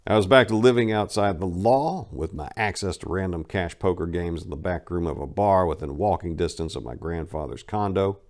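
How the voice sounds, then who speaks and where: A man's voice: low voice, normal speed, few pauses. A man narrating his own memoir in a casual first-person reading, wry and blunt, with crude humor.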